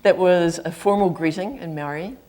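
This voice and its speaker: Woman, New Zealand accent, middle-aged, low pitch, chatty lecture in an auditorium. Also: moderate pace, a little mellow. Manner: chatty, self-deprecating, frequent ums. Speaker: guest speaker, flute professor. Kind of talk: lecture to an audience. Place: campus auditorium.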